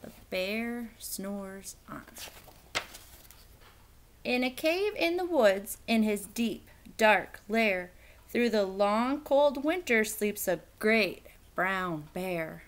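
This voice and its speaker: A woman reading a children's picture book aloud in an expressive, theatrical, emphatic storytelling style. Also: medium pitch, drawn-out words, noisy background.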